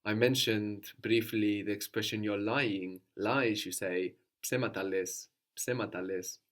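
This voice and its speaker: A man with a fairly low voice leads a language lesson. He works patiently, prompting his student with questions and repeating each answer back so it sinks in. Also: steady tone, measured pace. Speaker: language teacher.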